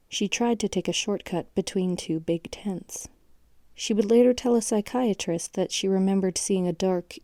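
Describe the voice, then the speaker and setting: An actress plays a detached case-file narrator in an audio drama. Her female voice is slightly low and extremely mellow, recorded close and dry. The delivery is measured, sober and factual. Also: no room sound. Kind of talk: scripted documentary-like narration.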